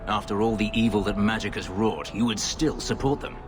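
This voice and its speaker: An actor playing a brooding, guarded but devoted elf warrior in a fantasy game, speaking gravely and formally. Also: male voice, lowish voice, close to an everyday voice. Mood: reluctant, stern. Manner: measured, grave, formal phrasing. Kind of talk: fantasy video game voice acting. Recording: long reverb, clear background noise.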